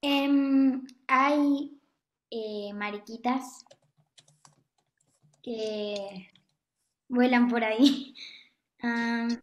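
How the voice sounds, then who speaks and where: A woman with a fairly high voice speaks hesitantly and extremely slowly. The video-call sound is roomy and echoey. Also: fairly even intonation, lo-fi sound. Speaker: workshop participant. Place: indoor room, on a video call.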